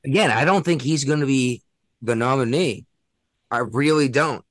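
A man's voice: medium pitch, normal speed, dry recording. Crude, joking man, dry close sound. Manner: crude, joking, laughs at his own jokes. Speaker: podcast co-host. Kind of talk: casual political chat.

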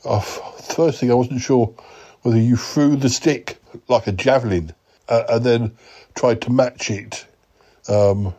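A man voicing a clueless male yeti uncle who talks slowly and hesitantly. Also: warm tone, slightly rough. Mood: bemused. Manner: slow, hesitant, wandering speech with a higher voice.

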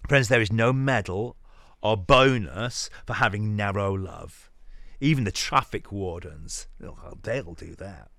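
A man's voice: on the low side, measured pace, creaky, pushed and bright, no echo, clean studio sound. A man giving a dramatic reading of a sermon, preaching earnestly with emphatic phrases and endings that trail off. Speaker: podcast host reading scripture aloud.

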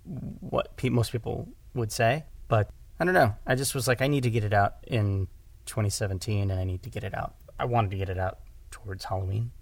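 A man's voice: fairly low pitch, brisk, vocal fry, no room sound. A man who directs audio drama, talking casually in a podcast conversation and often correcting himself as he goes.

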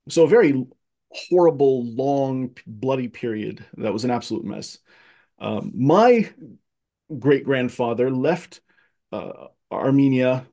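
A man's voice: medium pitch, a little mellow. A man from Egypt talking as a guest in a casual conversation, earnest and thoughtful, with frequent fillers and restarts. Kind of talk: casual conversation, personal history.

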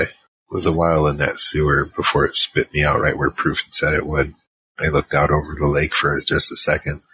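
A man's voice: rumbling low, noisy background. A man playing a battle-worn gunfighter who narrates his own story in an audio drama, terse and world-weary. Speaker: narrator and lead character. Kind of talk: first-person noir-like audio drama narration. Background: music.

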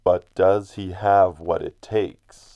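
A man's voice: deep voice, fairly even intonation, slow, dark tone, no room sound, muffled recording. A man narrating a crime radio drama in an ominous, theatrical manner. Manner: ominous, theatrical, addresses characters directly.

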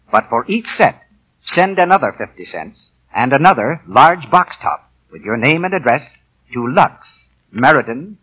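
A man's voice: mid-range voice, a bit bright, breathy edge, rough recording. A man announcing in a polished, salesmanlike 1940s radio advertising style. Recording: 1940 radio broadcast.

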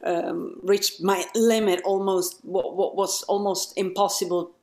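A woman's voice: mid-range voice, steady tone, measured pace, darkish tone. A woman singer as an interview guest, talking things through in loose, searching phrases. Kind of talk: casual conversation.

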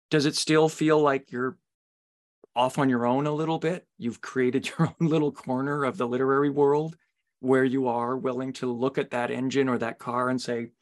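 A man interviewing a guest conversationally, putting long, musing questions as a podcast host. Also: medium pitch.

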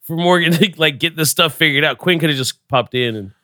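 A man's voice: on the high side, a little fast, pushed and bright, no room sound. A man hosting a casual podcast, bantering and joking with friends at a home studio mic. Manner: joking, laid-back banter. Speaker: podcast host. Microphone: headphones at a computer mic.

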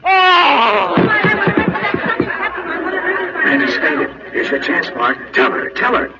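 A man's voice: very high voice, wildly swooping pitch, a little slow, gravelly, a loud crowd, heavy background noise, exaggerated voice. An actor playing a man's goading, insistent conscience in a 1940s radio drama. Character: goading male conscience prodding a lovesick man. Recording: distant muffled 1940s radio, music behind.

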